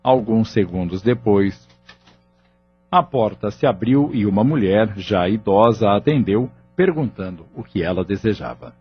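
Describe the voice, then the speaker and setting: A man narrating a scripted audio novel in a formal, measured manner. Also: lowish voice, expressive, breathy edge. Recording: dull lo-fi audio with music underneath.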